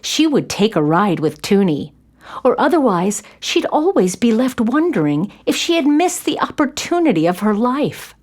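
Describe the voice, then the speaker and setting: A woman narrator reading a scripted children's story in an expressive storytelling manner. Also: mid-range voice.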